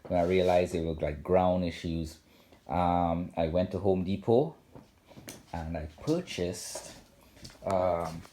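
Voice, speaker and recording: A man giving a casual, unscripted home video walkthrough, talking haltingly with frequent ums and self-corrections. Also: low-pitched, a little hiss or hum. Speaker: home theater vlogger. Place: home theater room indoors.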